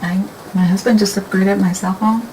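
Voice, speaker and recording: A woman talking casually and thinking aloud as she paints, with a soft, rambling manner. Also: mid-range voice, fairly even intonation, moderate pace, a little hiss or hum, rough recording. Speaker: painting instructor. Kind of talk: casual painting demo talk.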